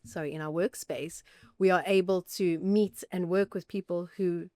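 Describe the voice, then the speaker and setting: Guest, woman in her 40s, articulate and flowing, lowish voice, dry mic. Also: steady tone, normal speed, warm tone, dry recording. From South Africa. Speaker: communications officer. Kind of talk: podcast conversation.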